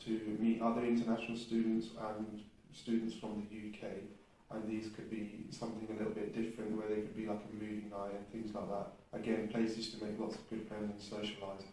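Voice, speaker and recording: Echoey, distant young Englishman, low monotone. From England. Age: young adult. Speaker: interviewed recent graduate. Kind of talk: casual interview answers. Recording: echoey, distant mic, faint noise.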